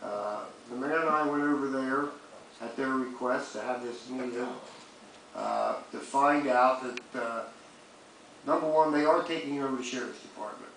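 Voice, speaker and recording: A man's voice: mid-range voice, animated, moderate pace, a bit bright. A man serving as a city commissioner makes blunt, plain-spoken clarifying remarks at a public meeting. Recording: very echoey room, distant mic, faint noise.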